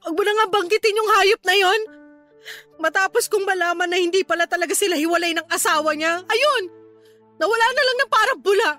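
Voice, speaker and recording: A woman's voice: very high voice, fairly quick, pushed and bright, soft background music. A woman with a Filipino accent playing a distraught, regretful pregnant older sister in a radio drama, tearful and pleading. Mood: bitter, tearful.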